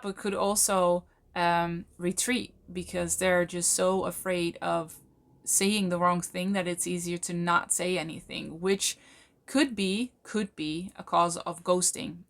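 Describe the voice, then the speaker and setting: A Dutch woman, a native Dutch speaker talking in English, explaining things to camera in a thoughtful way, with many fillers and slow, stretched words for emphasis. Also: on the low side, a bit bright. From Netherlands. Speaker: channel host, coach. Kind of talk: casual explanatory talk to camera.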